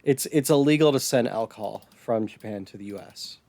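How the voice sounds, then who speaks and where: An American man chatting casually as a podcast host, somewhat halting when he describes things. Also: mid-range voice, vocal fry, dark tone, no room sound. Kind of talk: casual podcast chat about retro gaming.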